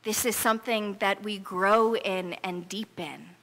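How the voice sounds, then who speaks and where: A woman's voice: medium pitch, steady tone, unhurried, fairly bright tone. A middle-aged American woman pastor preaching a sermon in an emphatic, earnest, conversational storytelling manner. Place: church sanctuary, preaching before a congregation. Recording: reverberant church hall.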